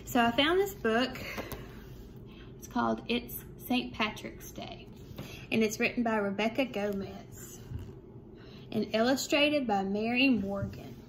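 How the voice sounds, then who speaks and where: A woman speaks like a primary teacher reading to young children. Her phrasing is measured and simple. She is recorded indoors at home, with some background noise. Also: fairly high pitch, low-quality recording. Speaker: second grade teacher. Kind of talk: children's read-aloud. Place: small room at home, indoors. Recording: clear background room noise.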